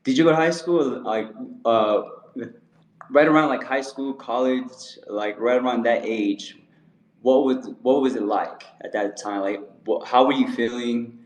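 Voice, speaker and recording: A man's voice: mid-range voice, measured pace. A man hosting a casual interview, laid-back and slangy, with plenty of fillers. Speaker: podcast host. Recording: roomy echo.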